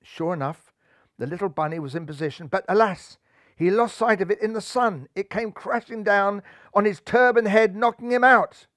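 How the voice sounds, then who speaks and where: Children's audiobook narrator, male, lightly high pitch, expressive and playful, dry sound. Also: normal speed, no room sound. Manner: theatrical, playful. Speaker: storyteller reading aloud.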